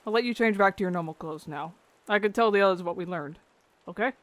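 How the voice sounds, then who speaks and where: A man's voice: sits high, quick pace, no echo, close to an everyday voice. A man playing an earnest, caring young bird-folk rogue in an audio drama. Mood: concerned, gentle.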